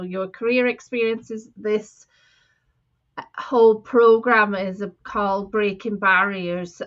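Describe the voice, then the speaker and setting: Slow, bright-voiced woman host. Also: medium pitch, lo-fi sound. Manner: warm and effusive welcoming, with hesitations. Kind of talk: interview host introduction.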